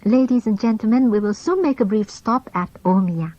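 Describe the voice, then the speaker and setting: A woman reading scripted onboard train announcements in a formal, measured way. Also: on the high side, dark tone, dry recording. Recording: dull lo-fi old cassette tape.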